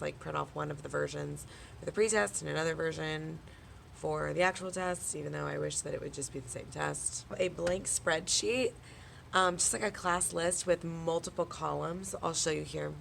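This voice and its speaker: A young woman teacher talks through her classroom work in a loose, filler-heavy way. Her voice sits low and rough with fry, worn hoarse from a week of talking. A steady hiss of noise runs behind her. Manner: chatty, filler-heavy explaining. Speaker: elementary school teacher. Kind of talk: casual teacher vlog.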